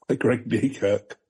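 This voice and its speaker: A man hosting a podcast in casual, slightly rambling chat, with dry understatement and a husky voice. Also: medium pitch. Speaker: podcast host. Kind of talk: casual chat, reading out an article.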